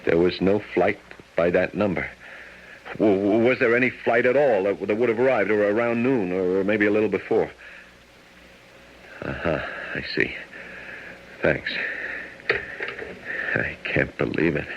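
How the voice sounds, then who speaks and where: A man's voice: on the low side, unhurried, long pauses, a little hiss or hum. A man playing a nervous, evasive American abroad in a radio drama, with an American accent and a hesitant stammer. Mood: anxious. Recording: muffled vintage radio recording.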